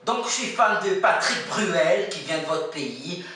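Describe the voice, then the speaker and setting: A man's voice: fairly high pitch, a little slow. A man playing a goofy, twitchy Belgian contestant obsessed with fries, with a Belgian French accent. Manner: excitable, twitchy, belts gospel off-key. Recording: echoey room from far off.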